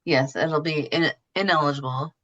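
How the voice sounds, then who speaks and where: A woman's voice: low voice, steady tone, normal speed, fairly bright tone, lo-fi sound. A woman explaining things as a podcast guest in casual, informal interview talk. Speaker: podcast guest explaining her know-how.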